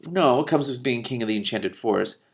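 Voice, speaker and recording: A man's voice: medium pitch, lively intonation, fairly quick, creaky, voice pushed up. A man reading the part of an earnest, dutiful young king in a storybook, polite and plain-spoken. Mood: puzzled, mild. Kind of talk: expressive audiobook-style character reading.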